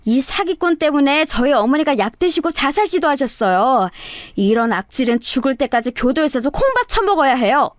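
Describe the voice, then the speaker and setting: A woman voicing a loud, scheming daughter-in-law who scolds theatrically in a dramatized story. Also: sits high, very animated, a little fast, hardly any pauses, no room sound, studio quality. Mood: outraged.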